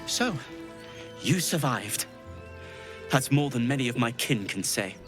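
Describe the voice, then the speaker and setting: An actor playing a bitter, angry survivor with a grim, resentful manner. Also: male voice, mid-range voice, lively intonation, a little slow, over music, lightly acted.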